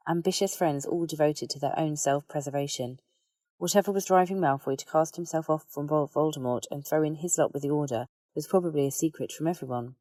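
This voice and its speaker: A woman's voice: low voice, warm tone, dry recording. A woman reading a story aloud as scripted audiobook narration.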